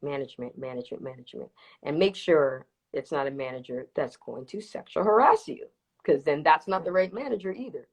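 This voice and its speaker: A woman's voice: sits low, expressive, brisk, breathy edge. A woman from Toronto with early years in Jamaica, chatting casually and emphatically as an interview guest, repeating words for stress. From Toronto, Canada, with early childhood in Jamaica. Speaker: interview guest, reggae dancehall singer. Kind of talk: casual live interview chat. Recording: livestream video call.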